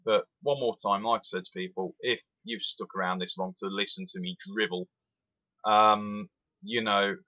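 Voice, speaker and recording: A man's voice: fairly low pitch, moderate pace, highs cut off. A man, giving an opinionated, emphatic rant as a rail sim reviewer. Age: 20s. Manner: animated, emphatic, repeats itself.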